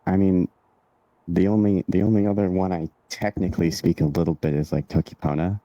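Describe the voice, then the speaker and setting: A man co-hosting a livestream, chiming in casually with halting, relaxed remarks. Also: deep voice, no echo, highs cut off.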